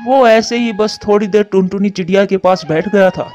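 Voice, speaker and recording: A man's voice: sits high, normal speed, a little mellow, close to an everyday voice. A man voicing an earnest, admonishing crow, a concerned father in a cartoon. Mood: puzzled. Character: male crow, concerned scolding father and husband. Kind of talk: Hindi cartoon voice acting. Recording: muffled narrow-band audio over music.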